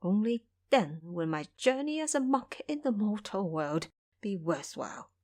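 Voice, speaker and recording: A woman's voice: medium pitch, very animated, measured pace, dark tone. A woman voicing a very old, greedy male monk with a plaintive, self-pitying way of talking in an audio drama. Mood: wailing, wistful.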